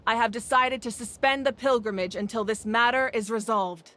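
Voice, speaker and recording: A woman voicing a composed, authoritative female ruler in a video game, speaking with measured calm. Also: fairly high pitch, slight background noise, lightly acted. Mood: firm. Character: commanding yet gentle female ruler of a nation. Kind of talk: game voice acting.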